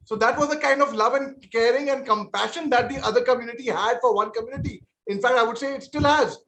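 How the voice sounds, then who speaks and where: A man's voice: very high voice, fast, a bit bright, reverberant. A man in his forties with an Indian accent, an army veteran speaking as a panelist in a televised debate, earnest and conciliatory, often repeating and restarting his words.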